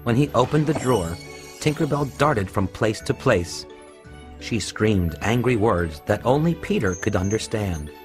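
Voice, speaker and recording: A man's voice: on the low side, very animated, unhurried. A man narrating a scripted children's storybook tale. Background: music.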